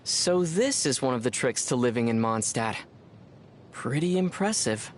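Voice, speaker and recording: A man playing a young traveler hero in a video game, calm and measured, with a wry, teasing manner. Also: medium pitch, slight background noise, close to an everyday voice. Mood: calm, thoughtful. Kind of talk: English video game voice acting. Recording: music behind the voice. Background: music.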